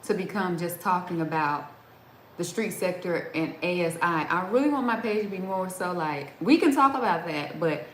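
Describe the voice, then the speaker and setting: A woman's voice: lowish voice, lively intonation, normal speed, slight background noise. A middle-aged woman hosting a casual livestream, chatting and answering viewer comments, laughing often and restarting her sentences. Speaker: livestream host. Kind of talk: casual live chat reading viewer comments. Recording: roomy echo with faint background ambience.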